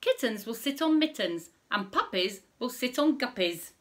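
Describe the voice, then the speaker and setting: A woman voicing a boastful male frog in a picture-book story, speaking in a slow, singsong rhyming way. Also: fairly high pitch, expressive, pitched above the performer's own voice, close to an everyday voice. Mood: cheeky.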